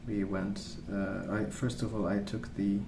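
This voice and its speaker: A man rambling softly in an ASMR style, hushed, hesitant and unhurried. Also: on the low side, little pitch movement. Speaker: ASMR video host. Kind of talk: soft-spoken ASMR rambling. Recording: roomy, distant mic with clear noise.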